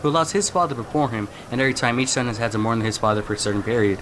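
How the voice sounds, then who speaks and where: A man playing a composed, commanding king, giving formal, measured speeches in modernised stage language. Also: medium pitch, a bit bright, a little hiss or hum, natural voice, poor audio. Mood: admonishing. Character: composed, self-satisfied king, smooth-talking and commanding.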